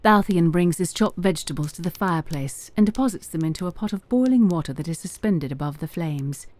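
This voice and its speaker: A woman's voice: on the low side, lively intonation, fairly quick, a little mellow. A woman narrator reading scripted story narration for a fantasy audio drama.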